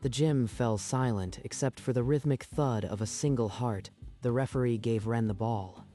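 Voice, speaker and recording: A man's voice: mid-range voice, normal speed, mellow, soft background music. A man narrating a dramatic story from a script in a storytelling style.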